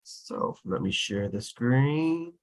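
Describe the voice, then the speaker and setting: A man's voice: medium pitch, animated, takes it slowly. A man hosting an online get-together on a video call, introducing guests in casual chat. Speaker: host.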